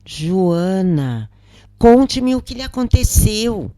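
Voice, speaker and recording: A troubled, questioning woman prisoner , read by a female narrator, speaks with a Brazilian accent. Her delivery is earnest, hesitant and slow, in a dry audiobook recording. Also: mid-range voice, sing-song, mellow, no echo, pitched above the performer's own voice. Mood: earnest, concerned.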